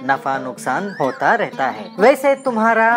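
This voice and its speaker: A woman's voice: on the low side, big pitch swings, unhurried, faint music behind. A woman voicing a kindly, reassuring uncle parrot in a children's cartoon story. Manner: reassuring, avuncular.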